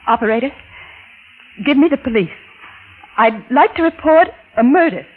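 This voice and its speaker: An actress playing a cold, scheming wife turned killer in a 1940s radio suspense drama, speaking with taunting deliberation. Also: female voice, high voice, expressive, moderate pace, narrow, tinny audio. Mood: poised. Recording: vintage radio recording with music behind.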